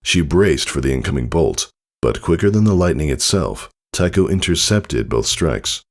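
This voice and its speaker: A man's voice: rumbling low, big pitch swings, moderate pace. A man narrating a scripted story in a dramatic reading style. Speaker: fan fiction narrator.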